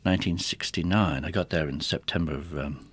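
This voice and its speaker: A man's voice: deep voice, brisk, a bit bright, no room sound. A middle-aged man chatting casually as an interview guest, looking back over his working life as a musician. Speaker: interview guest, theatre organist. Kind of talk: casual conversational reminiscence.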